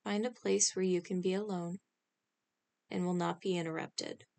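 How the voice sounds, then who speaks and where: A woman leading a scripted guided meditation in a calm, instructional manner, speaking in short, spaced phrases. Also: on the low side, normal speed, vocal fry.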